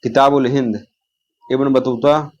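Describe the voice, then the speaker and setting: Male exam coach, mid-pitched voice, informal revision delivery that repeats key terms and answers its own questions. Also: fairly even intonation, unhurried, a little mellow, muffled recording. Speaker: exam-prep teacher.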